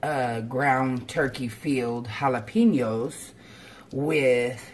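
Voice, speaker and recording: A woman explains each step informally, as in a casual cooking vlog. She is recorded at home in a kitchen, with clear background noise of frying and clattering dishes. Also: very deep voice, slow pace, a little mellow. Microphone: camera on counter or at arm's length.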